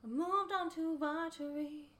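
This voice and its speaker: A woman sings an advertising jingle in a very high voice. The vocal is echoey and unhurried. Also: fairly even intonation, a bit bright.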